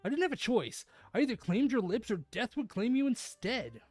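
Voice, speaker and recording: A woman narrates a visual novel for a stream, slipping into casual, playful chat. Her pitch moves in a lively way, and faint music sits underneath. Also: normal speed, no room sound. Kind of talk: expressive read-aloud narration with casual chat.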